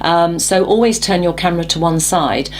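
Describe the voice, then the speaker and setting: A woman's voice: lowish voice, normal speed, slight background noise. A woman hosting a talk show, asking questions and encouraging her guest with frequent murmurs of agreement. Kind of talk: interview hosting.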